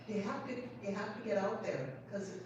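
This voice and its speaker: A woman's voice: mid-range voice, fairly quick, a little mellow, highs cut off. An American woman making an informal, plain-spoken and insistent comment from the audience at a public meeting. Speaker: audience member. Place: indoor town hall meeting, away from the mic. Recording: very echoey room, far mic, noisy.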